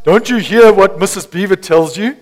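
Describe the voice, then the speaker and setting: A man voicing an insistent, forceful male beaver from a storybook. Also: high voice, moderate pace, lightly acted, low-quality recording.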